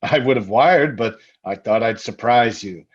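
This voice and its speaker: A man playing a soothing, patronizing, insistent husband with quiet menace in a radio suspense drama. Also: mid-range voice, measured pace, breathy edge. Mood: soothing, probing. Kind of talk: old-time radio suspense acting. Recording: slightly dull, lo-fi sound.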